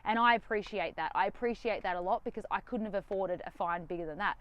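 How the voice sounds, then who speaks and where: A young woman, talking straight to camera from her van in a defiant, animated, sarcastic way. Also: mid-range voice, quick pace, no room sound, lo-fi sound. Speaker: vlogger. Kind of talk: casual direct-to-camera talk. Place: inside a van.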